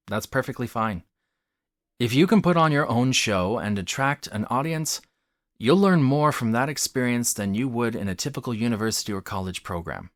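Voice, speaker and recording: A man's voice: medium pitch, no room sound, clean studio sound. A man delivering a scripted video essay as a host, in an articulate, instructive and emphatic way. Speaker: host of a theatre commentary channel.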